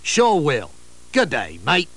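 A man's voice: fairly high pitch, wildly swooping pitch, takes it slowly, a bit bright, no echo, soft background music, full character voice. A man doing a laid-back Aussie crayon bloke. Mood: easygoing. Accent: Australian. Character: friendly male Australian crayon outback bloke.

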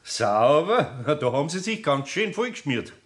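A man's voice: a little high, sing-song, moderate pace, fairly bright tone. An actor playing a good-natured, grumbling Bavarian craftsman in a 1960s radio play, homely and plain-spoken. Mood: matter-of-fact. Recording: echoey 1960s radio play hall sound.

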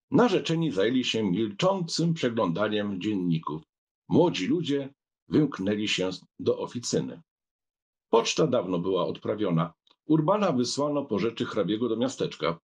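A man reads literary narration for an audiobook. His mid-pitched voice is husky, and his intonation moves in a lively way.

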